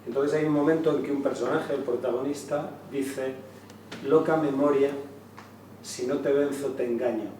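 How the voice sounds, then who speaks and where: A man with a soft, dark tone shares halting, reflective thoughts at a public theater talk. He sounds far from the mic, in a strongly reverberant room. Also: mid-range voice, fairly even intonation. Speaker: speaker at a theater discussion. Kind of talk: spontaneous reflective remarks. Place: indoor theater venue during a public discussion. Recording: very echoey room, distant mic, faint noise.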